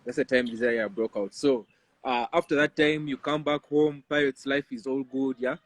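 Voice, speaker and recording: A man's voice: medium pitch, fairly even intonation, moderate pace, no echo. A man with a Ugandan accent, interviewing in a casual, conversational way with informal tag questions. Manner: informal, often tags sentences with "yeah".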